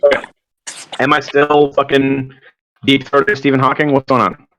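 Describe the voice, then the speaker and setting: A man's voice: mid-range voice, completely flat delivery, normal speed, very noisy. A man running a tabletop game as game master over an online call, slightly nasal, with choppy robotic connection glitches. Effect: choppy, robotic internet connection glitches. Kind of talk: tabletop role-play narration and casual chat over an online call.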